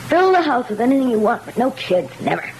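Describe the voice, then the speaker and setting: An actress playing a tormented, exhausted wife full of resentment in an old-time radio horror drama, intense and halting. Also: female voice, on the high side, big pitch swings, a little mellow. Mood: anguished resentment. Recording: faintly noisy vintage radio recording.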